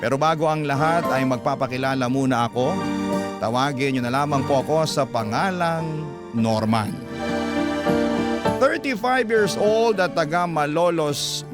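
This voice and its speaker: Filipino radio storyteller over prominent guitar music. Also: male voice, medium pitch. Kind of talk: dramatic letter reading, classic radio storytelling.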